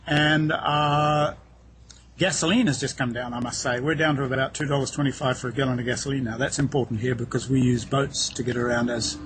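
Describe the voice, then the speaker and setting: A middle-aged man from New Zealand with a New Zealand accent, chatting as a guest by phone from a waterfront dock, relaxed, laughing easily and repeating words as he starts. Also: mid-range voice, fairly quick, a little hiss or hum. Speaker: guest, real estate agent. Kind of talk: phone interview, relaxed explaining. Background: boats passing.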